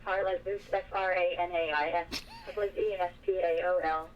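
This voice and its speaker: A woman's voice: lowish voice, fairly even intonation, moderate pace. A synthetic woman's voice with an American accent, reading chat messages aloud through a telephone speakerphone. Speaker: text-to-speech reader of viewer messages. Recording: muffled, distant speakerphone with background noise.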